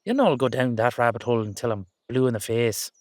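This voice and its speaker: A man's voice: mid-range voice, animated, fast, a little mellow, dry recording, studio quality, lightly acted. A man playing an exasperated but affectionate Irish dullahan rider, chatting coaxingly and teasingly to his horse in a roleplay audio drama. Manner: conversational, coaxing, teasing, slangy.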